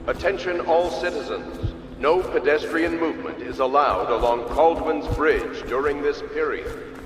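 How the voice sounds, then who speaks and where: A stern male announcer played by an actor booms through long, echoing reverb with music loud behind him. His voice sits a little high for a man, and he delivers formal orders in a measured, official manner, like a game's public address. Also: natural voice. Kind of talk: formal scripted proclamation.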